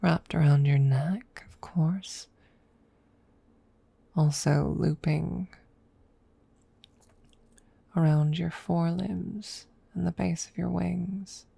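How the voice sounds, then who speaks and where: Casting: a woman as a guarded, haughty female dragon for ASMR roleplay; gravelly low register, formal deliberate phrasing, breathy and near-whispered. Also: fairly even intonation, warm tone, no room sound, full character voice. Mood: hushed, curious. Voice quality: breathy close murmur, often dropping to a whisper. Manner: formal, deliberate, hesitant, with long pauses. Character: proud, guarded female dragon secretly fond of her rival. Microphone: close microphone.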